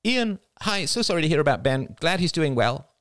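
A man's voice: a little high, sing-song, a little fast, a bit bright, dry recording, clean studio sound, pitched above the performer's own voice. A man voicing an eager, persistent female journalist in an audiobook. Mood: friendly, bright. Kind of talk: audiobook character voice.

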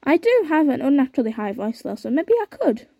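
Young woman podcast host, bit high, mellow voice, dry sound, chatty and unsure. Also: sing-song, a little fast, gravelly, dry recording, lo-fi sound. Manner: chatty, unsure, many fillers. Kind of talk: casual podcast chat, reading aloud.

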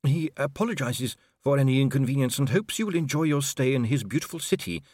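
Man acting a fussy, deferential, higher-pitched clerk. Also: animated, fast, a little mellow, dry recording, clean studio sound, close to an everyday voice. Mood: formally polite. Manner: hesitant, polite, careful. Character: fussy, deferential, anxious male diplomatic clerk and interpreter.

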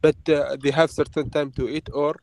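A man from Oman speaking in a casual chat, curious and asking many questions, with halting phrasing. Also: fairly high pitch, level tone, vocal fry, a little mellow. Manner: curious, asks many questions, halting non-native English. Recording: online call audio with faint noise.

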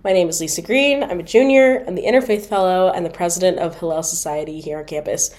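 A woman's voice: on the low side, fast talker, darkish tone. A woman in her twenties, a student hosting a campus podcast, asking questions in an upbeat and encouraging way. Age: 20s. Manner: upbeat, encouraging, many affirming interjections. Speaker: podcast host. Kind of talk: interviewing.

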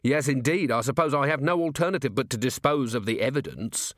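A man's voice: mid-range voice, a little fast, a bit bright, no room sound, studio quality, natural voice. A man voicing a belligerent police commander in a storybook, mocking and sarcastic under a show of innocence. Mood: sardonic.

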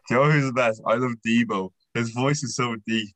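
A man's voice: mid-range voice, fairly bright tone, no room sound. A young man co-hosting a podcast in loose, sweary casual chat. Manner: loose and sweary, laughs often. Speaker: podcast co-host.

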